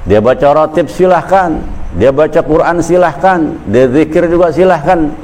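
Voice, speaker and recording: A man's voice: fairly high pitch, moderate pace, darkish tone. A man giving a colloquial religious sermon at a weekly gathering, talking conversationally, repeating phrases and building to emphatic stresses. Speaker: Islamic preacher.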